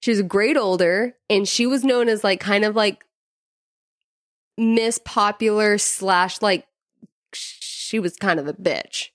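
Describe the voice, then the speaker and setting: A woman's voice: medium pitch, takes it slowly, dry recording, studio quality. A woman telling personal stories in casual conversation, informally, with "like" sprinkled through her sentences. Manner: informal, peppers speech with "like". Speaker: podcast co-host. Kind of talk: casual chat, personal storytelling.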